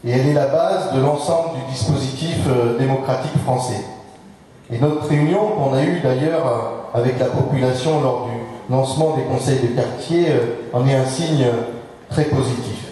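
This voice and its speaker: Echoey, distant man giving a halting political speech. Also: mid-range voice, warm tone. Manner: halting, measured delivery with frequent hesitations and repeated words. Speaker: elected municipal official giving a speech. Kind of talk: formal political speech at a public information meeting. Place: large public meeting hall, indoors. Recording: very echoey hall, distant microphone.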